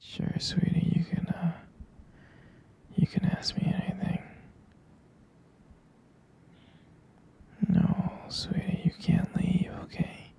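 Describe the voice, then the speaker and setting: A man voicing an obsessive, possessive young captor in an ASMR roleplay, whispering softly and calling the listener pet names. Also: sits high, monotone, measured pace, heavily acted. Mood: pleading, possessive. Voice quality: gravelly whisper.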